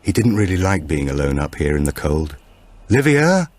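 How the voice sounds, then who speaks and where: A man reading a thriller audiobook as narrator, in a measured, suspenseful and dramatic storytelling manner. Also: rumbling low, a little mellow, no echo.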